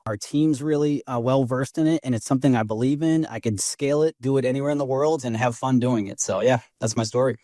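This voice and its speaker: A man's voice: mid-range voice, fast, hardly any pauses, warm tone, no echo, studio quality. A man in his forties with a slight Boston accent, chatting candidly as a podcast guest. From Brockton, Massachusetts, USA. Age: 40s. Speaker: podcast guest, real estate investor. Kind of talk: casual personal storytelling.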